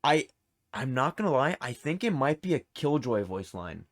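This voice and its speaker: A man's voice: mid-range voice, very animated, moderate pace. A man hosting a gaming quiz in a casual, chatty, encouraging way. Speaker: quiz show host.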